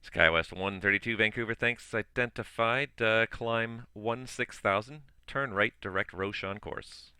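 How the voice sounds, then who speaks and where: A man's voice: lowish voice, measured pace, sharp tone, smooth, no echo. A man working as an online air traffic controller, giving clipped radio calls with weary casual asides while his voice is giving out. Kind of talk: ATC phraseology with casual asides.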